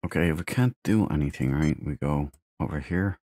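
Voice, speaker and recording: Very deep-voiced male gamer. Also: lively intonation, fairly quick, dark tone, no echo. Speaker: gamer, let's play host. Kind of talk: casual gameplay commentary. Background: faint game music.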